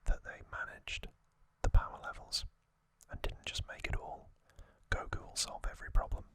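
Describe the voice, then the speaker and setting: A man whispering ASMR commentary as the host of a trivia show, speaking in short, measured phrases. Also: sharp tone, no echo.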